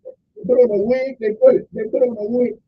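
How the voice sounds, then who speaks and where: A man's voice: extremely high pitch, fairly even intonation, a little fast, breathy edge, phone-quality sound. Chatty man hosting radio talk. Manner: folksy, chatty, rambling asides with repeated "okay" and "I'm just saying". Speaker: radio talk show host. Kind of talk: casual radio talk, listing the day's observances.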